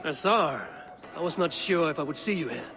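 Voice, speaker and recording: An actor playing a confident, curious, dryly teasing Viking warrior in a video game. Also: male voice, on the high side, lively intonation, normal speed, creaky. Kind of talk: game voice acting. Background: music.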